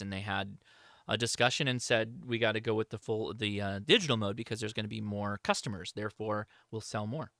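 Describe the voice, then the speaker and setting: A man's voice: fairly low pitch, sharp tone, no echo, studio quality. A man hosting a livestream, answering chat questions in a casual, informal, enthusiastic hobbyist way.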